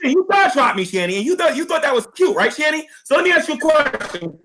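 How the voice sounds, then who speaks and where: A hoarse man in a heated livestream argument, insistently repeating the other person's name as he pleads and accuses. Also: very high voice, sing-song, fast talker, pushed and bright. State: hoarse, losing his voice. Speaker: YouTuber.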